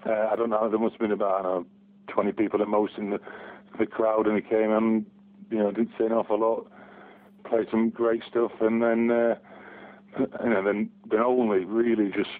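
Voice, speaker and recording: A man's voice: lowish voice, level tone, moderate pace. A man, talking hesitantly as a guest in a casual phone interview. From Barnsley, England. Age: 40s. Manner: hesitant, many restarts and ums. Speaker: guest fan. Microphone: telephone. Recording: tinny phone line.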